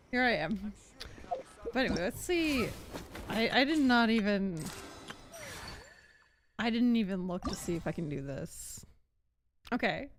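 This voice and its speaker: High-voiced, animated young woman streamer. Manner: giggly, informal, rambling. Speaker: live streamer playing a video game. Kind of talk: casual livestream chat and game reactions.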